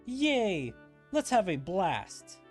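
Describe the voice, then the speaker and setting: A streamer voicing excitable, childish fantasy game characters by reading their dialogue aloud dramatically. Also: medium pitch, extremely slow, faint music behind. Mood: excited.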